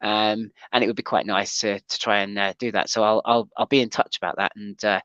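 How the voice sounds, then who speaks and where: A man's voice: on the low side, little pitch movement, fairly quick, a bit bright, clean tone, dry recording, muffled recording. A man hosting a casual livestream chat show, talking with many ums and restarts. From Wales. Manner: hesitant, full of ums and restarts.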